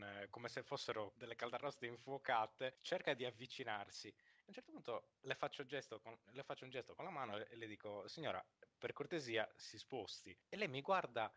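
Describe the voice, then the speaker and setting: A man telling anecdotes in casual podcast chat, wordy and halting, with ironically formal phrasing. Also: medium pitch, a bit bright. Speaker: podcast co-host.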